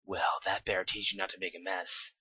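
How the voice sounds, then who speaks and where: A man's voice: sits low, flat delivery, a little fast, sharp tone, husky, dry recording, full character voice. A man voicing an earnest, bookish young female unicorn pony in a raised put-on voice. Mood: teasing.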